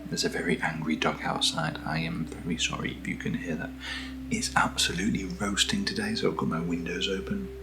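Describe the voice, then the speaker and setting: A British man speaking in a soft, breathy near-whisper as he hosts a casual ASMR video, gentle and unhurried, close to the microphone. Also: deep voice, little pitch movement, loud music behind the voice. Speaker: ASMR video host reviewing camera gear. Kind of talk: soft-spoken ASMR, casual unboxing chat.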